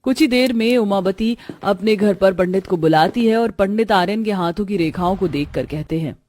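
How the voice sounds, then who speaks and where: A woman's voice: medium pitch, normal speed, darkish tone. A woman reading scripted narration for a dramatized moral story.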